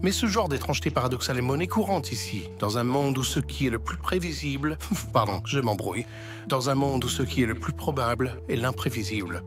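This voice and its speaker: A man's voice: medium pitch, lively intonation, a little fast, natural voice. Man acting as wry video game narrator with music. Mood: wry, grand. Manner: wry, tongue-in-cheek. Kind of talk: comedic storybook-like game narration. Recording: music behind the voice.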